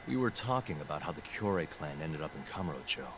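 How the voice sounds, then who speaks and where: A man's voice: extremely low pitch, big pitch swings, fast, background noise, exaggerated voice. An actor playing a cool-headed male detective in a game, blunt and questioning. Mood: pondering.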